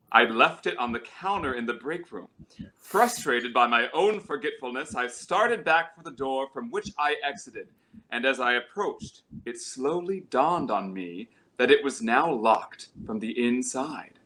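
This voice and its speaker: A man's voice: mid-range voice, bright, forceful tone, poor audio. A man reading a ghost story aloud as dramatic scripted narration.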